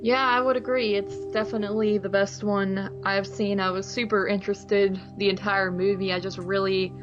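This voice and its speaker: A woman's voice: mid-range voice, flat delivery, normal speed, over music. Informal woman on slightly dull podcast audio. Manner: informal, colloquial. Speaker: movie podcast co-host. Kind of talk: casual film review chat.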